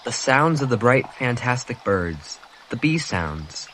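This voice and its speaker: A man reading a graphic novel aloud in a soft, measured way. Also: medium pitch, sing-song, a little hiss or hum, poor audio. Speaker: reader.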